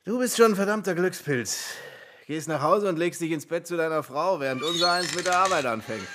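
An actor playing a weary, gruff ex-boxer barman with a rough voice in a German radio play. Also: fairly high pitch, lively intonation, brisk, noisy background, natural voice. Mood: envious. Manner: gruff, colloquial.

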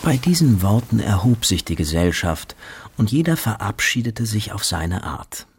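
A man reading scripted narration for a German radio drama in a creaky voice. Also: lowish voice, sing-song, normal speed.

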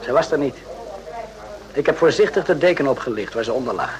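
An actor playing a gentle, remorseful army subordinate in a radio play, speaking carefully and reflectively. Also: male voice, mid-range voice, expressive, a little fast, crowd noise, close to an everyday voice, poor audio. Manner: careful, reflective, short repeated phrases.

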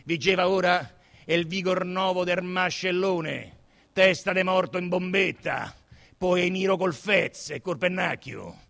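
High-pitched Roman man narrating in a hall. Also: unhurried, creaky. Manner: emphatic, declamatory, expressive. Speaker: stage actor reading a novel as narrator. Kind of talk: theatrical literary narration with dramatic emphasis. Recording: reverberant hall.

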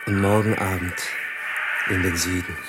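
An actor playing an elated, bashful newlywed engineer in a German radio play, effusive and theatrical. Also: male voice, sits low, fairly even intonation, moderate pace, lightly acted. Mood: blissful, gushing. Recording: loud music behind the voice.

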